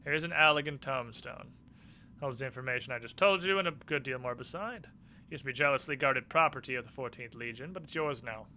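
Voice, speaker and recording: A man's voice: medium pitch, fairly quick, pushed and bright, slight background noise. Smug, flippant ex-villain, voiced by a male streamer. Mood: teasing. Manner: casual, mocking paraphrase of game dialogue. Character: smug, flippant male ex-military villain turned uneasy ally.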